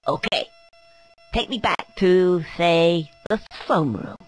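A man's voice: high voice, animated, slow pace, long reverb tail. A man voicing a cheeky young cartoon squirrel in a video game, muttering offhandedly and hesitantly. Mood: pleased, plotting.

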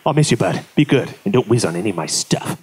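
A man's voice: on the low side, lively intonation, fast, a little mellow, husky. A man playing a goofy, hot-headed but sentimental astronaut in a live comedy cartoon read, with bro-ish slang. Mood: impulsive, earnest. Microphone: handheld-style stand mic at table. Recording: live stage mic with background noise.

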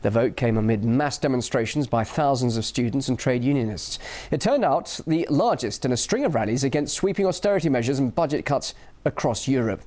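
A man presenting the news from a TV studio in a measured, scripted delivery. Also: medium pitch, lively intonation, a little mellow. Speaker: news anchor.

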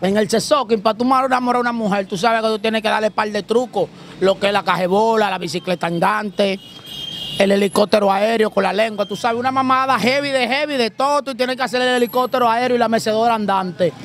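A young man with a strikingly high voice brags in street slang. He speaks into an interviewer's handheld mic out on a city street. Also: fairly even intonation, a little fast, fairly bright tone, a little hiss or hum. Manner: boastful, slangy, playful bravado. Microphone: handheld mic held by interviewer.

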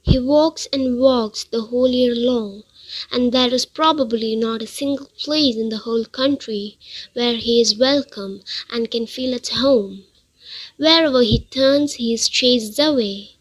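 A woman's voice: fairly high pitch, measured pace, breathy edge, poor audio. A young woman playing a kind, compassionate daughter in an amateur radio drama, with an earnest, polite manner. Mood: pleading. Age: young adult.

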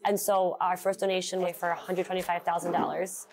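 A woman's voice: on the low side, little pitch movement, brisk, a crowd in the background. A young woman, a shop owner, speaking in an interview in an earnest, heartfelt way, on a lapel mic in her shop. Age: young adult. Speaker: small business owner. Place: candle shop, indoors.

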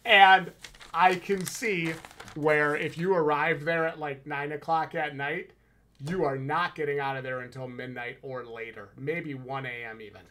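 Upbeat wisconsin guy on a headset, kinda high bright voice, hyped casual review chat. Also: fairly even intonation, unhurried. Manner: enthusiastic, emphatic, slangy. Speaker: podcast co-host reviewing haunted houses. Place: home room with shelves, indoors. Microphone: headset with boom mic on video call.

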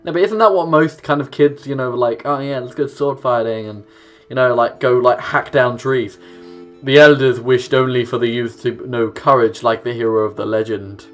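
Young man, let's play host, casual, medium pitch, game music behind. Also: moderate pace. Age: young adult. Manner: casual, offhand asides. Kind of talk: gaming commentary, reading game text aloud. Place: small room at home, indoors. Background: game music with plucked guitar.

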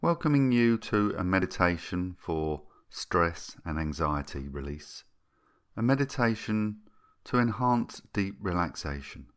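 A man narrating a guided meditation in a soothing, gentle and unhurried manner, leaving long pauses between phrases. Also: sits low, lively intonation. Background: background music.

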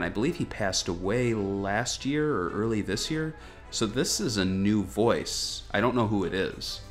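Low-voiced man chatting over music. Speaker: host of a gaming news show. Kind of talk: casual video game trailer commentary. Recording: music behind the voice. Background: background music.

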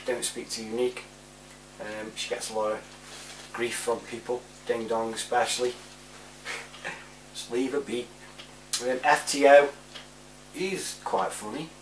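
Roomy, slightly distant home recording: man, forties, mid-pitched, informal and matey vlogger chat. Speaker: amateur video presenter. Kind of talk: casual chatty shout-outs to camera. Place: small living room, indoors. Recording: roomy, distant mic with hum.

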